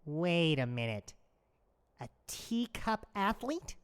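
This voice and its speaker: A woman's voice: lowish voice, big pitch swings, slow pace, smooth. A woman playing a fussy, anxious and sarcastic member of an adventuring gang in a comedy audio drama. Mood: wry.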